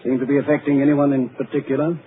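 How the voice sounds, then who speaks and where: An actor playing a worried sanitarium doctor. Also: male voice, mid-range voice, flat delivery, quick pace, lightly acted. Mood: concerned. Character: worried middle-aged male sanitarium doctor. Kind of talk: 1940s radio acting. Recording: tinny old radio broadcast, faint hiss.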